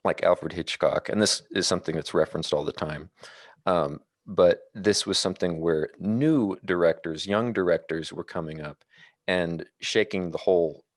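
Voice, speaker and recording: A man talking casually about films as a podcast panelist, in a halting, rambling way. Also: sits low, no room sound, studio quality. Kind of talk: casual film discussion.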